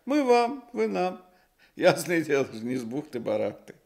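An actor playing a small, sly, ingratiating shoemaker in a radio play, unctuous and hesitant, with little chuckles. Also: male voice, a little high, very animated, unhurried, creaky, a little mellow, full character voice. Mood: effusive, insistent. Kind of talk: Soviet radio play acting.

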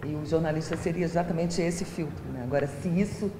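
A middle-aged Brazilian woman speaks as a researcher in discussion. The room rings with reverb and there is clear ambient noise behind her. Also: low-pitched, fairly quick. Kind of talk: interview discussion. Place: classroom-like room, indoors. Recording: noisy echoey room.

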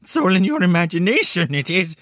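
A man's voice: high-pitched, big pitch swings, a little fast, a bit bright, no echo, pitched above the performer's own voice, exaggerated voice. A man voicing a miserly, blustering old man in a theatrical audio play, fretting and muttering. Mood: self-scolding, uneasy.

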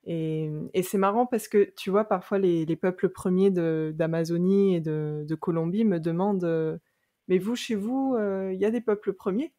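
Woman hosting a podcast, lowish voice, chatty, laughs a lot, lots of euh. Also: moderate pace. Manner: warm, laughs easily, hesitant restarts. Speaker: podcast host. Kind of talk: conversational interview.

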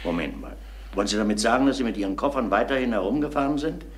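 An actor playing a composed, probing police inspector in a German crime radio drama, questioning in a measured, matter-of-fact way. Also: male voice, medium pitch, a little hiss or hum, lightly acted. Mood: probing, firm.

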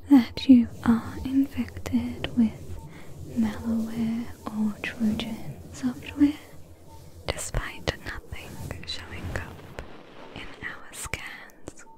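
A woman playing a gentle, caring robot-repair nurse in an ASMR roleplay, with a soft breathy whisper. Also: high voice, low-quality recording. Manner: slow, soothing, reassuring.

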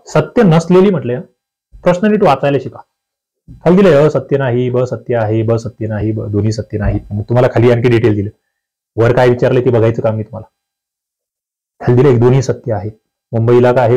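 A man's voice: mid-range voice, mellow, low-quality recording. A man with a Maharashtrian accent teaching an exam question walkthrough, instructing students directly and repeating key phrases.

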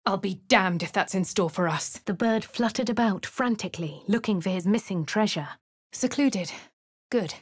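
A woman voicing a refined, posh young adventurer with a British accent, speaking crisp, clipped game lines and narration. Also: medium pitch, moderate pace, dry recording, studio quality, natural voice. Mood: composed. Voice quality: crisp, well-spoken. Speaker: player character.

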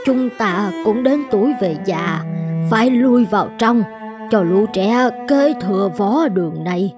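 A woman voicing a caring, thoughtful aging wife in an animated folktale, speaking in a gentle, measured way. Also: medium pitch, over music, close to an everyday voice. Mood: supportive. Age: older.

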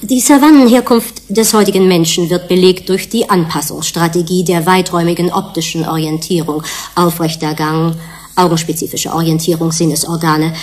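An actress playing an earnest scientist in a German radio drama, lecturing colleagues in a measured, precise, didactic way. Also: female voice, on the low side, close to an everyday voice.

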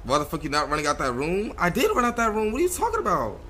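A man's voice: high-pitched, wildly swooping pitch, fast, pushed and bright, a little hiss or hum. A man streaming a roleplay game, giving heated, slangy commentary in an exasperated, repetitive rant.

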